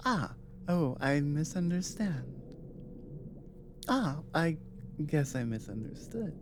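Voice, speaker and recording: A woman acts out a regal female dragon, last of her species, for an ASMR roleplay. The voice is low and soft, coaxing yet dominant, and takes its time. Some background noise sits behind it. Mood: soft, resolved.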